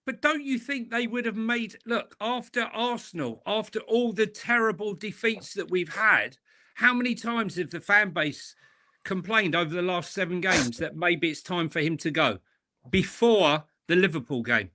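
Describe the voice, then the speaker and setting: A man in opinionated, casual chat as co-host of a football livestream. His voice is fairly high and forceful, and he repeats phrases to press his point. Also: moderate pace. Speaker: co-host of a football fan show.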